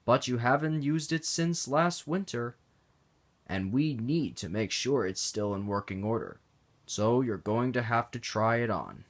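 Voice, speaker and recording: This is a kind, careful engine driver in a children's story. A man puts him on, speaking gently and slowly, with plenty of rise and fall in his voice. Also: mid-range voice, lively intonation.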